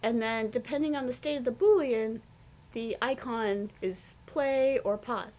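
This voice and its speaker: A woman's voice: fairly high pitch, a little slow, darkish tone, rough recording. A woman co-hosting a coding session, casually suggesting what to write next. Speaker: co-host guiding the coding. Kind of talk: casual pair-programming chat.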